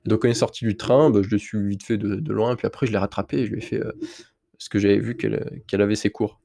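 A man with a low, gravelly voice and a mellow, dark tone, recorded dry and close. He has a slight cold and tells his podcast listeners a personal story in a candid, rambling way. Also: flat delivery, fast talker, dry recording. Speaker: podcast host. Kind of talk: casual solo podcast monologue.

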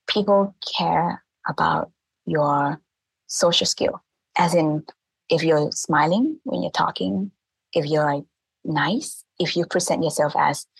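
Husky woman. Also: low-pitched, no room sound, rough recording. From Thailand. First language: Thai. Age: 30s. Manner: earnest, laughs often, restarts phrases. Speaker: guest, language teacher. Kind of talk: casual interview talk.

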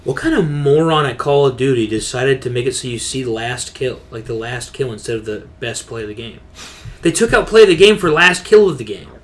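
A man's voice: mid-range voice, animated, a little fast, slight background noise. A man co-hosting a casual gaming podcast, ranting in a slangy, emphatic, exasperated way. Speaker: podcast co-host.

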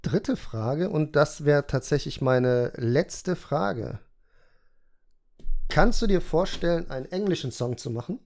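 A man's voice: fairly high pitch, animated, takes it slowly, gravelly, a little mellow. A man in his thirties hosting a casual podcast interview, asking questions and explaining things at length in a chatty way. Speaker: podcast host.

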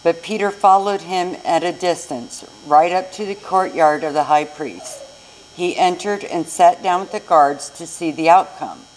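A woman's voice: fairly low pitch, unhurried, slight background noise, poor audio. A woman narrating a scripted scripture reading in church. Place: inside a church.

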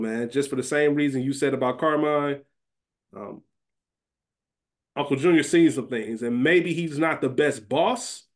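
A man co-hosting a podcast, chatting casually in colloquial, slangy language. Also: medium pitch. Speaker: podcast co-host. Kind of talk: casual chat.

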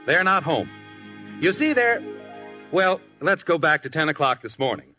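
An American actor playing a folksy storytelling narrator in a scripted 1950s radio sitcom. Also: male voice, a little high, very animated, normal speed, soft background music. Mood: folksy, scenic. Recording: muffled 1950s radio recording.

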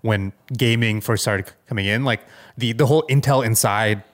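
A man's voice: on the low side, normal speed. A man co-hosting a casual tech chat, talking in a hedging way and often checking in for agreement. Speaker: podcast co-host.

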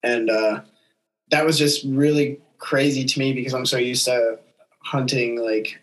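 A young man with an average-pitched voice hosts a casual chat. He shares outdoor stories informally and with open enthusiasm. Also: steady tone, unhurried. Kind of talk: casual chat, travel and hunting anecdotes.

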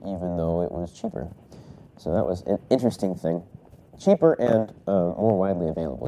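A man's voice: low-pitched, warm tone, slight background noise. A man recovering from a cold, congested and coughing, rambling casually through his solo podcast with many fillers and self-corrections. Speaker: podcast host.

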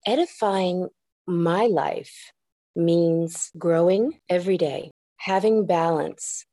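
A woman clinical therapist being interviewed on a podcast, explaining in a reflective, measured way with occasional restarts. She grew up in the United States and spoke Japanese first. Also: lowish voice, mellow, dry recording, studio quality.

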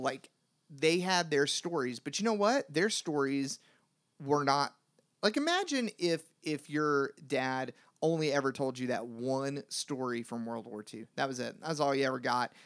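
A man co-hosting a podcast in casual chat, emphatic and animated, his voice jumping up when he gets worked up. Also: mid-range voice. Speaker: podcast co-host.

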